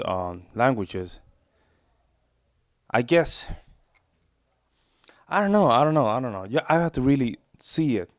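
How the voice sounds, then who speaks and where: Brief: mid-pitched male reaction host, loose and chatty, dry booth-like sound. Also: darkish tone, no room sound. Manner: chatty, rambling, repeats himself, informal "bro". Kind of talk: casual reaction commentary.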